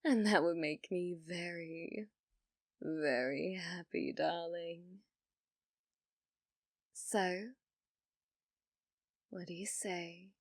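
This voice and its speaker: Woman acting out a slow, teasing, flirty yandere date. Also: on the low side, no room sound, clean studio sound, heavily acted. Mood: coaxing, hopeful. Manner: teasing, coy, slow and deliberate, drops to whispers. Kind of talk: ASMR roleplay.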